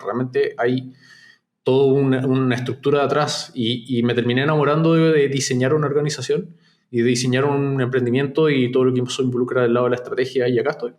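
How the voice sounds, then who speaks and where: A man from Chile with a softened Chilean accent, a startup founder talking reflectively as an interview guest, with many restarts. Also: mid-range voice. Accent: Chilean, softened toward neutral Spanish. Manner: reflective, frequent restarts and fillers. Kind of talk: casual interview conversation.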